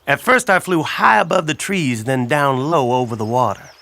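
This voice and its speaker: A man voicing a friendly, wry blackbird who tells a children's story in a folksy, chatty, expressive way. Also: mid-range voice, moderate pace, creaky, full character voice. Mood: wry storytelling. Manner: folksy, expressive, addresses listener directly. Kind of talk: animated children's audiobook storytelling.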